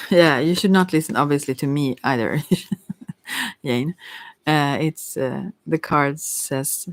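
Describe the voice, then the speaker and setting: Softly spoken tarot reader, very dry close sound. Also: mid-range voice, slow pace, dry recording. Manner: hesitant, rambling, thinking aloud. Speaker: tarot reader hosting a live reading. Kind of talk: casual intuitive tarot reading, answering listeners' questions.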